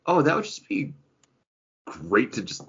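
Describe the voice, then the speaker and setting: A man's voice: mid-range voice, huge pitch swings, a little slow, fairly bright tone, slightly rough. A man co-hosting a podcast in casual chat with friends, telling little anecdotes and quoting remembered exchanges. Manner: anecdotal, acts out remembered dialogue. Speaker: podcast co-host. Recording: dull, band-limited audio.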